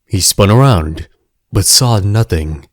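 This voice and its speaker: A man narrating a scripted adventure story in a measured, dramatic storybook manner. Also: on the low side, lively intonation, dry recording, studio quality.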